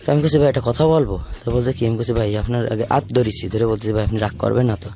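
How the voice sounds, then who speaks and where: A man's voice: medium pitch, steady tone, fast, warm tone. A man with a southwestern Bangladeshi accent from the Khulna region, recounting his life informally in a rambling, repetitive way and acting out remembered dialogue. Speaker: guest telling their life story. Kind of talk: informal personal confession, storytelling. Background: background music.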